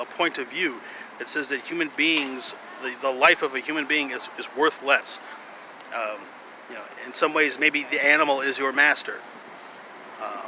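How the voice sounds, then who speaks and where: A man in his thirties rambles in a medium-pitched voice, stopping and restarting with a sardonic edge. He is outdoors, with clear street and vehicle noise around him. The delivery is a loose, casual monologue. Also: pushed and bright. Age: 30s. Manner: halting, repetitive, sardonic, profane. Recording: clearly noisy outdoor urban ambience, traffic.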